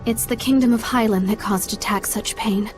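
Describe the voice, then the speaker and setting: Actress as high-voiced earnest young woman. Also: steady tone, moderate pace, soft background music, lightly acted. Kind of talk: English video game dub acting.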